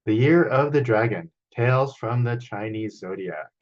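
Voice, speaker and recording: A man reading a children's picture book aloud as a storyteller, in an expressive manner, over a video call. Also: medium pitch, moderate pace, muffled recording. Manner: expressive, acts out sound effects.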